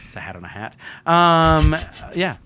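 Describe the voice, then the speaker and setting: A man's voice: a little high, big pitch swings, a little slow, pushed and bright, slight background noise. A man co-hosting a podcast in casual, bantering chat with a playful, joking manner. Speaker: podcast co-host.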